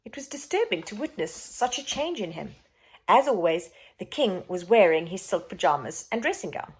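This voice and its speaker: A woman reading a children's storybook aloud, expressive, dramatic and emphatic in her narration. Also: mid-range voice, normal speed, breathy edge, poor audio.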